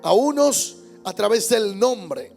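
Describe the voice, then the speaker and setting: A man's voice: high-pitched, animated, slow pace, vocal fry, darkish tone, soft background music. A male pastor preaching a Sunday sermon in church, emphatic, repeating key words and calling out amens. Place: church service.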